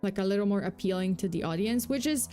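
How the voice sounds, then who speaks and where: A young woman with a touch of a Polish accent talks through a true-crime story on a livestream. Her delivery is loose and chatty, packed with fillers, restarts and asides, at an ordinary middle pitch. Faint background music sits under her voice. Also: fast talker, warm tone. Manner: chatty, full of fillers and self-corrections, reads chat aloud. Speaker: VTuber host.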